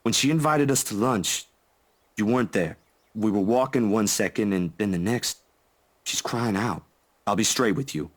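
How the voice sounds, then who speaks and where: An actor as a young man in a visual novel, pitched a little low. He is a protective, easygoing friend who talks earnestly and casually, feeling his way through his worry. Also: normal speed, close to an everyday voice. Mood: troubled, earnest. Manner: hesitant, earnest, colloquial. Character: Zach, worried protective young man, laid-back friend.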